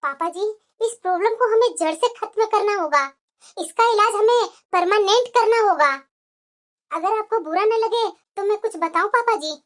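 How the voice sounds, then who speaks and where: A woman voicing a young newlywed daughter-in-law in a moral-story cartoon, respectful, deliberate and persuasive. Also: very high voice. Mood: calm persuasion.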